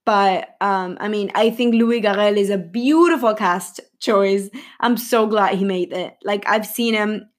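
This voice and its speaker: A woman's voice: mid-range voice, measured pace. A young woman vlogging casually about a film's cast, rambling and giggly, with lots of fillers and self-corrections. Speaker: film vlogger.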